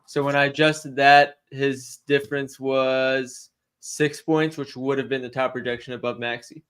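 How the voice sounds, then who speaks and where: A young man co-hosting a casual sports betting livestream, chatty and quick to laugh while he answers questions from the chat. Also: medium pitch, flat delivery, a bit bright, smooth. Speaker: sports betting stream co-host.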